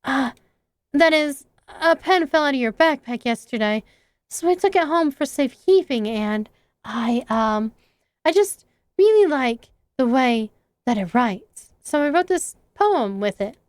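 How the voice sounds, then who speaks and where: A woman voicing a shy, intense teenage schoolgirl, soft and hesitant, reading game dialogue aloud. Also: sits high, animated, unhurried, dry recording.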